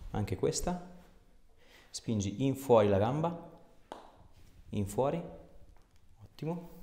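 A man's voice: mid-range voice, darkish tone. A man, a chiropractor coaxing a patient with short, encouraging instructions. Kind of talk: hands-on instructions and casual explanation to a patient. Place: small clinic treatment room. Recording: echoey room, clear background noise.

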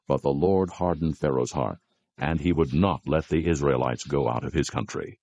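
A man's voice: very deep voice, moderate pace, a little mellow, no room sound, lo-fi sound. A man narrating a dramatized scripture reading in a scripted, storytelling delivery.

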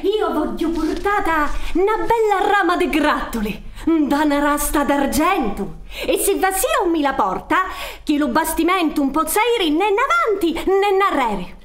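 A woman's voice: very high voice, big pitch swings, normal speed. A woman playing a headstrong youngest daughter with a Sicilian accent in a radio folk tale. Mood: demanding. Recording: echoey stage with some ambient noise.

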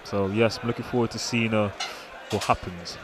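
A man's voice: fairly low pitch, fairly even intonation, normal speed. A man giving casual, hesitant live commentary on a fight. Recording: noisy event hall ambience.

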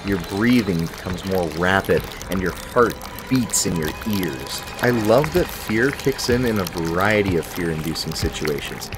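Low-voiced man reviewing games over music. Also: animated, a little slow, hardly any pauses. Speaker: video game reviewer. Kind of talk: scripted review commentary. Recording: music behind the voice.

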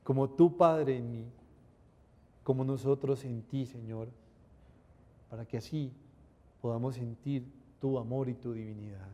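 Reverberant middle-aged priest praying solemnly. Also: male voice, mid-range voice, mellow. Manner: measured, reverent recitation. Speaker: priest celebrating Mass. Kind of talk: solemn liturgical prayer. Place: indoor chapel at an altar. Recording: reverberant chapel sound.

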